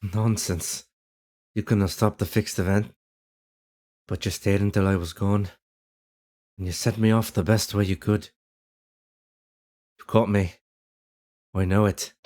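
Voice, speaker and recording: A man acts out a tender young sweetheart from a dream in an audio drama. His voice is low and dark, and he speaks with gentle, earnest reassurance. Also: little pitch movement, a little slow, long pauses, close to an everyday voice. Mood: tender, reassuring. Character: tender young Irish man, ghostly lost love in a dream. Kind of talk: fan audio drama acting.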